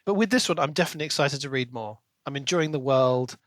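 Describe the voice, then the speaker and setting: A man's voice: medium pitch, fast talker, a bit bright, no room sound. A man co-hosting a podcast, chatting casually and giving opinions about a book. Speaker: podcast co-host.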